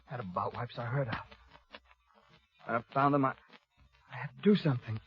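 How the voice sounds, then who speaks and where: An American actor playing a bitter, beaten-down rancher with a prison past, speaking in a halting, plaintive way in 1950s Western radio style. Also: male voice, medium pitch, close to an everyday voice. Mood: dejected, defensive. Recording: muffled old radio recording with music.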